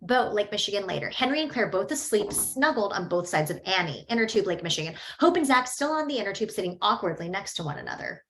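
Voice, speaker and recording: A woman reading a screenplay's stage directions aloud at a table read, steady and matter-of-fact. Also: mid-range voice, quick pace, few pauses.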